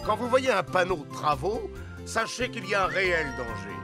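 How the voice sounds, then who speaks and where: Kind cartoon rescue car, high and lively, explaining safety. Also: male voice, moderate pace. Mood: instructive. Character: friendly rescue car, caring safety teacher. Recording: cartoon soundtrack with music.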